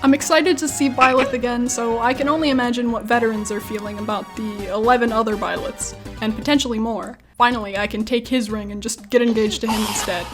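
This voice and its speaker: A woman's voice: a little high, fairly even intonation, fairly quick, hardly any pauses, over music. A woman hosting a scripted video commentary on games, with wry, self-deprecating asides. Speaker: video essayist, YouTube host.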